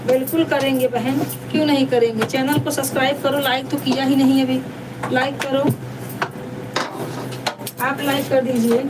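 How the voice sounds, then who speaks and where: A woman's voice: high voice, little pitch movement, normal speed, long pauses. A woman hosting a casual live stream, chatting repetitively with viewers and addressing them as sisters. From Deoria district, India. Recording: distant mic, noisy background.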